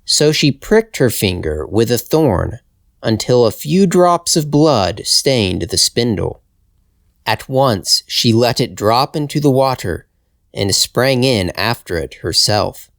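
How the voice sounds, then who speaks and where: A man reading a fairytale as a storyteller, in careful, deliberate scripted narration for language learners. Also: mid-range voice, vocal fry, no room sound, clean studio sound. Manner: deliberate, expressive, carefully phrased.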